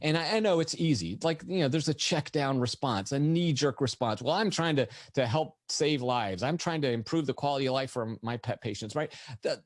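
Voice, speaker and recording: A middle-aged man with a slightly raspy, lecture-tired voice, giving an energetic webinar presentation full of rhetorical questions. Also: medium pitch, brisk, hardly any pauses, no echo. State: voice tired from lecturing all morning. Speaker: veterinarian presenter.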